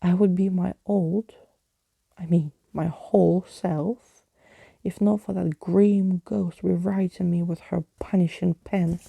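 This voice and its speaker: A woman plays a fretful living skeleton from a storybook, an old-fashioned gentleman who is wordy and easily affronted. The voice is hushed and dark, very creaky, and picks through its grand phrases slowly. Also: fairly low pitch, steady tone, no room sound, full character voice. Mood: indignant. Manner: wordy, pompous, indignant.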